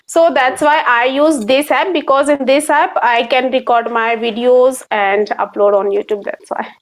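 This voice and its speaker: A woman with an Indian English accent hosting a casual interview, halting with many fillers. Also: sits high, steady tone. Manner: halting, many fillers, non-native phrasing. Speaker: interviewer and channel host. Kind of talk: casual video-call interview.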